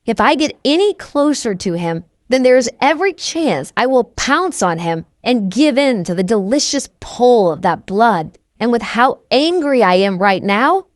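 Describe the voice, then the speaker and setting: A woman's voice: medium pitch, no room sound, studio quality. A woman reading first-person scripted novel narration as an audiobook narrator.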